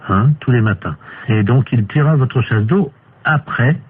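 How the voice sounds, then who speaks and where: A man playing a hesitant, deadpan male court official in an improvised telephone prank. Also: mid-range voice, normal speed, lightly acted. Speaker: prank caller. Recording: tinny phone line with clear hiss.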